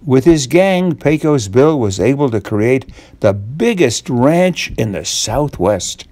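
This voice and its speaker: An older man reads a tall tale as a bedtime story, expressive and deliberate, drawing out key words. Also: medium pitch, no echo. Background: guitar music.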